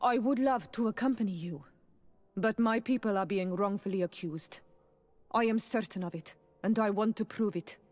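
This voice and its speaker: A woman's voice: mid-range voice, moderate pace, a little mellow, natural voice. An earnest woman from a video game, played by a woman, insistent in defence of her people. Her mid-range voice echoes in a hall over music. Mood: indignant, determined. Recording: reverberant hall, music underneath.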